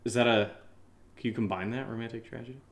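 A man co-hosting a podcast, answering questions in a casual, jokey, rambling and halting way. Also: medium pitch, steady tone. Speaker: co-host of an audio drama podcast answering listener questions. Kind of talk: casual unscripted Q&A chat.

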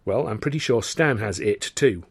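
An earnest young comedian, flustered and eager to please, as a male narrator puts him on. His voice jumps up high when he protests. It sounds slightly husky and dry, close to the mic. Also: animated, normal speed, no room sound, full character voice.

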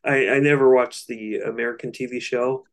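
A man's voice: medium pitch, steady tone, moderate pace. A man co-hosting a casual album discussion, explaining things in a loose, slightly hesitant way. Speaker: podcast co-host.

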